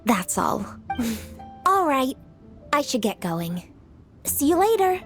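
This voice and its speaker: Young female official, fairly high voice, composed, businesslike, precise, game dialogue, music behind. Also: very animated, slow, a bit bright, heavily acted. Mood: courteous, brisk. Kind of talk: video game voice acting.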